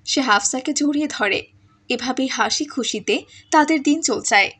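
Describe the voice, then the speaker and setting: High-pitched woman storyteller. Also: a little slow, a bit bright, breathy edge. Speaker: narrator. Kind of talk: scripted story narration.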